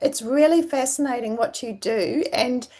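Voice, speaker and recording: High-voiced woman hosting a casual interview. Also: moderate pace, a little mellow. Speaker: podcast host.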